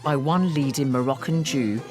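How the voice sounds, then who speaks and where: A woman with a very low voice presents a documentary, delivering scripted narration and interview questions at a measured, even pace. Soft music runs faintly underneath.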